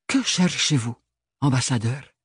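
A man's voice: medium pitch, animated, measured pace, husky, no room sound, clean studio sound. A man voicing a proud, wary noblewoman who speaks in a cool, formal, guarded way.

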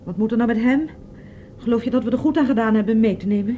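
A woman's voice: a little high, fast, phone-quality sound, slight background noise, lightly acted. An actress playing Sarah, an anxious young woman escaping Mars, who talks in a fearful, urgent way and stammers. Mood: subdued. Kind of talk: Dutch radio play acting.

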